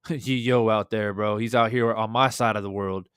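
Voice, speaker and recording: A man in his thirties with a hoarse voice, hosting a casual boxing livestream from a small room at home, shouting out viewers in the chat. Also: on the low side, steady tone, moderate pace, no echo, clean studio sound. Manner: laid-back, shouting out chat viewers, swears freely. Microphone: studio desk mic with headphones.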